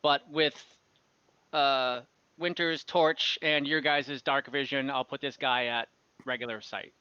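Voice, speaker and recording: A man acting as game master in a casual online tabletop game, explaining rules in an easygoing, halting way. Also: mid-range voice, steady tone, sharp tone, clear, resonant tone, no room sound, highs cut off.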